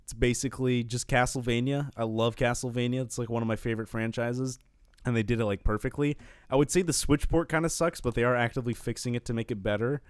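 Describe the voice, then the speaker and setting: A man speaks close and dry, at a middling pitch, as a podcast co-host. He chats casually with friends in an informal, blunt way. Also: steady tone, fast talker, clear, resonant tone, dry recording. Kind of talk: casual group chat among friends.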